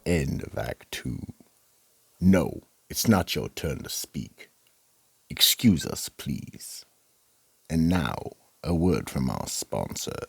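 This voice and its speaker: A man performing as the sinister host and narrator of an audio mystery play, with a gravelly, husky voice and an ominous theatrical manner. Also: rumbling low, big pitch swings, slow, exaggerated voice. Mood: ominous, measured. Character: sinister male host of a mystery radio show. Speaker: narrator and horror anthology host.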